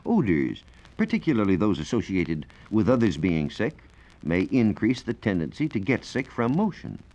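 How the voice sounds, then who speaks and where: A low-voiced American man explains science on 1940s radio. His pitch swings up and down a good deal as he speaks, while his delivery stays formal and measured. The old recording sounds dull and lo-fi. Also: wildly swooping pitch, a little mellow. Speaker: science reporter on a radio program. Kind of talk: 1940s radio educational talk, scripted explanation. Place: radio studio.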